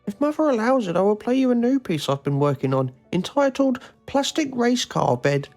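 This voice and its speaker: A man's voice: very high voice, very animated, normal speed, a little mellow, soft background music, heavily acted. A man voicing an earnest, melodramatic, lovelorn shut-in in a comedy game. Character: eccentric, lovelorn grown man grounded in his bedroom. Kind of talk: mockumentary game acting, dramatic reading.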